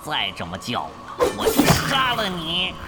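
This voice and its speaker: A prickly, sulky young gamer in a radio play. His highish voice swings dramatically as he grumbles and challenges, over loud music. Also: huge pitch swings, normal speed. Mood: sulky, threatening. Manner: grumbling, combative. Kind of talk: Chinese audio drama acting.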